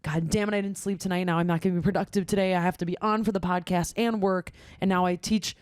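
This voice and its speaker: Young female co-host, raspy and hoarse, lowish pitch, dry close sound, candid chatty delivery. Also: fairly even intonation, fast, a little mellow, no room sound. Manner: candid, chatty, emphatic repetition. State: hoarse from stomach acid. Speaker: podcast co-host. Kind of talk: casual chat.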